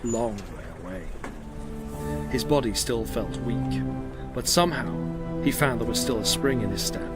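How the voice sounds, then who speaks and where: A man narrating an audio drama with scripted, dramatic storytelling delivery. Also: medium pitch. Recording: loud music behind the voice.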